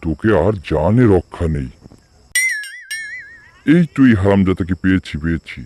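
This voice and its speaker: A man's voice: low-pitched, lively intonation, normal speed, background noise. A man voicing a foolish, hot-tempered tiger uncle in a cartoon fable, blustering in manner. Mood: furious. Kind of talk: animated fable character voice acting.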